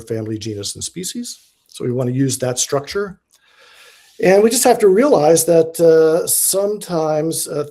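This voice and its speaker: A man giving an informal academic presentation as a guest lecturer. He is enthusiastic and tries to speak slowly and deliberately, though his speech has many fillers and restarts. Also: mid-range voice, dark tone. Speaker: guest lecturer, diatom researcher.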